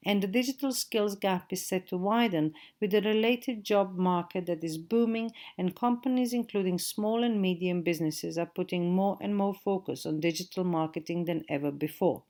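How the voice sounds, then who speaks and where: A middle-aged woman from Croatia with a Croatian accent, giving a measured recorded lecture as a digital marketing trainer. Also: fairly low pitch, a little mellow. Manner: measured, spelling out web addresses. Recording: audio-only recording.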